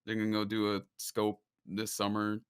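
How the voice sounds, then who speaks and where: A man's voice: fairly low pitch, monotone, moderate pace, clean tone. A man who sings, chatting on a podcast as a guest and explaining things at length with frequent laughs. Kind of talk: casual chat.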